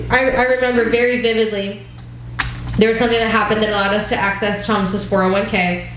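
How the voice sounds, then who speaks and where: Woman in her thirties, church group leader giving a candid testimony, mid-pitched voice, big reverberant hall, faint hiss. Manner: earnest, conversational, frequent ums. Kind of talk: personal testimony talk. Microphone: wireless mic that cuts out.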